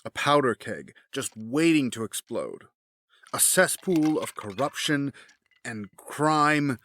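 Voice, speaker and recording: A man's voice: mid-range voice, sing-song, takes it slowly, fairly bright tone, heavily acted. A man playing a grieving, bitter, brooding figure in an audio drama, grave and intense in delivery. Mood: grim, intense.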